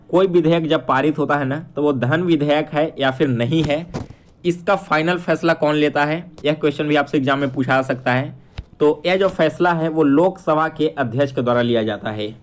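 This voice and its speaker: A male teacher with a fairly high-pitched voice walks through exam questions. He reads each one out, then explains the answer in an instructional way. He speaks straight to his students and often checks that they follow. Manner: instructional, addresses viewers directly with frequent check-ins.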